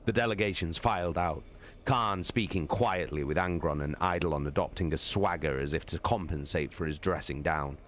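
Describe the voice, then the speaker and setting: A man's voice: low voice, normal speed, a bit bright, slight background noise. A man narrating a story expressively as the host of a dramatic reading livestream.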